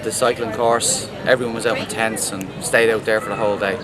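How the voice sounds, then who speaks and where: Irish man in a loud crowd. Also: on the low side, flat delivery, a little fast. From Ireland. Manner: enthusiastic, repetitive praise. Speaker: race participant. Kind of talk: street interview.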